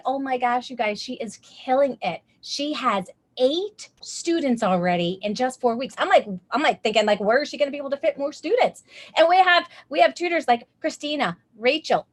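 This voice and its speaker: Bubbly upbeat woman hosting a webinar. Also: high voice. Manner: bubbly, gushing, many "like" fillers. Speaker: host of online tutoring masterclass.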